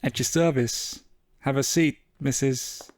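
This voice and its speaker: An actor playing a world-weary, wry 1940s private investigator in a hardboiled noir audio drama, deadpan and measured. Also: male voice, mid-range voice, noisy background, natural voice. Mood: businesslike. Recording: saxophone music under the voice.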